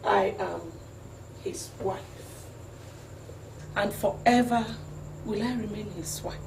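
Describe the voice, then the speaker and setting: An actress playing a stern, haughty wife who speaks in a slow, commanding way. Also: female voice, mid-range voice, vocal fry, a little mellow, a little hiss or hum. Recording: distant, echoey, with music.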